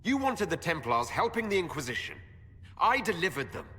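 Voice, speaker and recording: An actor playing a firm, measured leader of an inquisition in a fantasy game. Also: male voice, fairly high pitch, very animated, pushed and bright, slightly rough, full character voice. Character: resolute male leader of an inquisition, firm and diplomatic. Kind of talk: dramatic fantasy game acting. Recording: game score behind dialogue.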